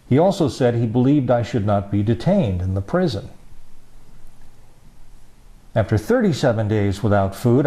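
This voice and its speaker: A man from the United States reading another person's first-person statement aloud, in a slow, grave, deliberate way. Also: mid-range voice, warm tone. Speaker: reader of a statement. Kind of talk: solemn measured reading.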